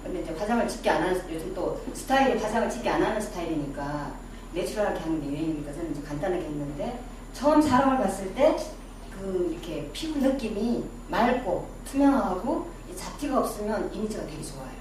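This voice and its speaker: A woman's voice: mid-range voice, lively intonation, fast talker, darkish tone. Middle-aged woman, Daegu accent, chatty lecturer who quizzes the class, caught from afar in a very echoey room. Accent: Daegu Gyeongsang dialect. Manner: chatty, quizzes the audience, self-deprecating asides. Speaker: image-making lecturer. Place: lecture room with a small audience. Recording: very echoey room, distant mic, background noise.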